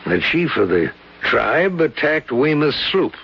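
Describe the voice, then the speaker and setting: A grave old colonel in a vintage radio play, gravelly and slow. He tells of curses with deliberate weight, his pitch rising and falling widely. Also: sing-song, full character voice. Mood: grave, foreboding. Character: solemn old colonel who believes in ghostly curses. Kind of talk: radio drama acting. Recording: muffled vintage radio drama recording.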